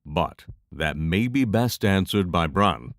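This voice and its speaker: A man playing a steady, practical, protective adventuring companion in a fantasy audio drama. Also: sits low, slow, dry recording, clean studio sound. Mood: matter-of-fact.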